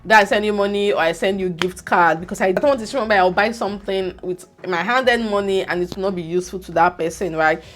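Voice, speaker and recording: Young Nigerian woman vlogger, animated and scolding, speaks in a plain middle pitch. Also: fast talker, few pauses. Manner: animated, scolding, pidgin words mixed in. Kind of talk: casual opinionated vlog monologue.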